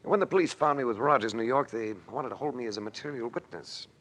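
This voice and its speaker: A cool, wry radio adventurer. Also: male voice, medium pitch, lively intonation, fast talker, fairly bright tone. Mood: wry, confident. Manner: dry, unflappable, clipped. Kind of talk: old-time radio drama acting with narration.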